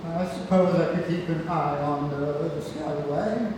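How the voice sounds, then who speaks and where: A man's voice: a little high, steady tone, measured pace, lightly acted. A man at a play table read, playing a wry, playful old grandfather who is frail but feisty. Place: large indoor theatre room, far microphone. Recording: distant, echoey hall, noisy room recording.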